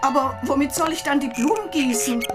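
An old housewife played by a female actor speaks wistfully, fretting and questioning, yet devoted. It is intimate radio drama acting, with music clearly behind her voice. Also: high voice, brisk, lightly acted. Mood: anxious, tender. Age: older. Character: elderly wife, wistful, fretful, devoted, housewifely.

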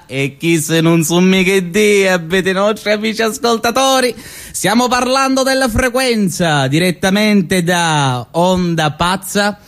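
An actor playing a sarcastic young radio host, telling a mock fairy tale in a theatrical, ironic way. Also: male voice. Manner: ironic, theatrical storytelling, swinging pitch. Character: sarcastic young male radio host mocking a mafia town. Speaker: actor in a one-man radio play. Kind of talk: stage monologue as pirate radio broadcast.

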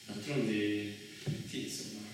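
A man performing a solo stage monologue, telling a story in a halting, repetitive, dramatic way. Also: lowish voice, little pitch movement, recorded from a distance. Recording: echoey hall from afar, faint noise.

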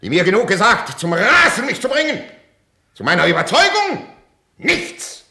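An actor playing a proud, jealous older king in a classical radio drama, imperious and theatrical, swinging from hushed menace to outbursts. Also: sits low, animated, normal speed. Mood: raging, anguished. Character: proud, jealous, volatile older Spanish king, tormented by suspicion. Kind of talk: 1950s German classical radio drama acting.

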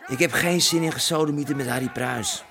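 A man's voice: mid-range voice, brisk, fairly bright tone, some chatter behind, natural voice. Dour, wary male criminal, acted amid noise. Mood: reluctant. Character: reluctant, wary, grumbling underworld businessman. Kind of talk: naturalistic radio drama acting. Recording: clear background ambience noise.

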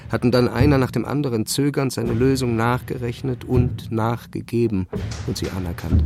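An actor playing an obsessed, brooding physicist who narrates his own life as an introspective, measured inner monologue in a radio drama. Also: mid-range voice, close to an everyday voice. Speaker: narrator and lead character. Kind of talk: literary first-person radio drama narration. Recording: music behind the voice. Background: music.

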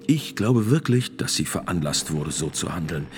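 An actor playing a grave priest and notary of sixty-six, testifying in a radio drama with gravelly, deliberate, formal speech. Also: male voice, low-pitched, big pitch swings, darkish tone, soft background music. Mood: grave, reflective. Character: sixty-six-year-old male priest and court notary, grave witness.

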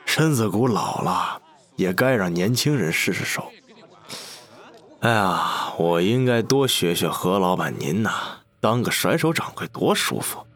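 A man playing a sly older gambling boss who taunts with drawn-out mock courtesy in a Chinese audio drama. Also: low-pitched, sing-song, a bit bright, exaggerated voice. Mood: mock-courteous, taunting.

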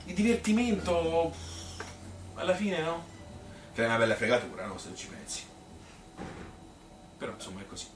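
A man's voice: on the high side, expressive, normal speed, long pauses, close to an everyday voice. A man playing a plain-spoken Tuscan mother who reminisces in a rambling, hesitant, conversational stage monologue full of self-questioning. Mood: reflective. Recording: roomy, distant stage recording with noise.

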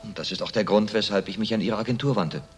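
An actor playing a reserved, well-off grown son of a murdered man in a radio crime drama, speaking in a measured, matter-of-fact, subdued way. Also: lowish voice, natural voice. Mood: sober, subdued.

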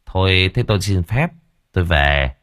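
A fretful middle-aged spirit medium, as a storyteller puts him on, speaking low and hesitantly with stammers. The voice is husky and breathy. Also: male voice, a little fast, a little mellow, dry recording, clean studio sound. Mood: solemn.

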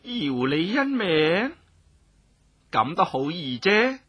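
Audiobook villainess, voiced by the narrator: imperious woman, cold menace, scornful delivery, sharp husky tone. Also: medium pitch, sing-song, slow pace, heavily acted. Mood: coldly commanding. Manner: scornful snorts, commanding, menacing. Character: cold, cruel, imperious noblewoman passing death sentences.

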